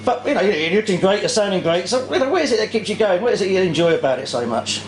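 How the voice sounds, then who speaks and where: A man's voice: sits high, lively intonation, very fast, reverberant, slight background noise. A man interviewing a guest in a friendly, casual way, often murmuring short agreements.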